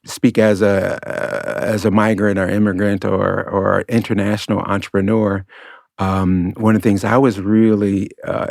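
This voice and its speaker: Male podcast guest, deep with vocal fry, halting delivery. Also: darkish tone, no room sound, clean studio sound. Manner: halting, many restarts and fillers. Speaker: podcast guest, immigrant entrepreneur. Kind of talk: casual podcast conversation.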